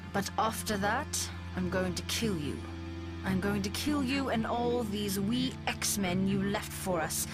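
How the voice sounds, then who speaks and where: A woman's voice: mid-range voice, close to an everyday voice. A woman voicing a villainous mutant who has possessed a young woman, casual and taunting, in a motion comic. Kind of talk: dramatic motion comic voice acting.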